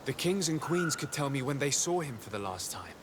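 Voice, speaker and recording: Actor as earnest noble adventurer over clear noise. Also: male voice, mid-range voice, big pitch swings, a little fast, lightly acted. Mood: concerned, resolute. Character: earnest, noble adventurer searching for a missing man. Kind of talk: video game cutscene acting. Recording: clearly noisy game-audio background.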